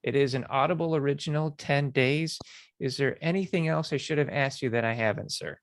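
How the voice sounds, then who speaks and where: A man's voice: mid-range voice, brisk, no echo, clean studio sound. A man hosting a casual podcast interview, asking questions in an affirming, encouraging way.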